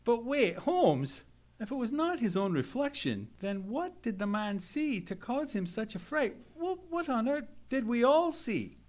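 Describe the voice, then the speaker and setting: An actor playing an earnest gentleman sidekick who tells a mystery in a formal, dramatic radio-play style. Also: extremely high pitch, very animated, a little slow, exaggerated voice. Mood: alarmed, bewildered. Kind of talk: dramatic narration in old-time radio play.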